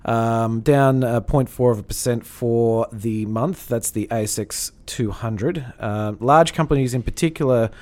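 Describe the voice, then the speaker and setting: A man's voice: medium pitch, measured pace, few pauses, a little mellow. An Australian man co-hosting a finance podcast in a studio, talking casually and filling his speech with ums and restarts. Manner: chatty, hesitant with many fillers. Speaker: podcast co-host, finance analyst. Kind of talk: casual chat.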